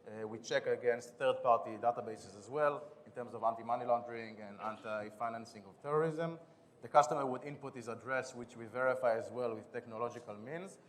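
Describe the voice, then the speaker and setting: A man from Israel with an Israeli accent, giving a quick, businesslike conference pitch and product demo as a startup founder, with some restarts. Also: slight background noise. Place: conference stage.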